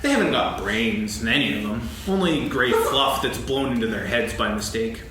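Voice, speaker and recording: A man playing a gloomy, sarcastic, self-pitying old donkey in a staged reading, mournful and deadpan with halting delivery. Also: medium pitch, close to an everyday voice. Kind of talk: staged reading of a children's story play. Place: on stage in a hall. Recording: very echoey hall, faint noise, archival recording.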